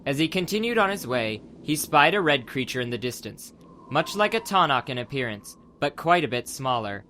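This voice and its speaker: A man's voice: medium pitch, big pitch swings, normal speed, bright, forceful tone, slight background noise. A man narrating a dramatized adventure audiobook from a script as the storyteller.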